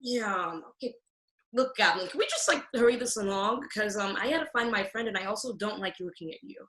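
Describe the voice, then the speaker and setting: A woman's voice: mid-range voice, animated, a little fast, a bit bright, reverberant, close to an everyday voice. A woman playing a headstrong, naive young fairy-tale girl with exaggerated modern sass in a comic radio play. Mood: sassy.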